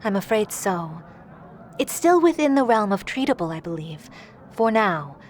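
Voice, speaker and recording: An actress playing a caring, composed healer in a fantasy audio drama, speaking in a gentle, formal, measured way. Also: female voice, mid-range voice, very animated, a little hiss or hum, natural voice. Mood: concerned.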